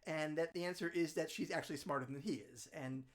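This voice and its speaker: A man's voice: on the high side, fast. A man hosting a solo livestream, chatting in a rambling, self-deprecating way with lots of fillers and restarts. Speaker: singer-songwriter hosting a livestream. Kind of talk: solo livestream with ukulele songs and chat. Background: ukulele accompaniment.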